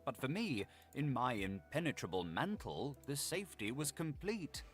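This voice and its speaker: A man playing a grave, scholarly Victorian gentleman confessing his secret evil in a formal, theatrical audiobook narration. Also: mid-range voice, big pitch swings, normal speed, faint music behind, natural voice. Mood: grave confession. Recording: loud vehicle and machinery noise behind. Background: vehicle and machinery noise from a video game.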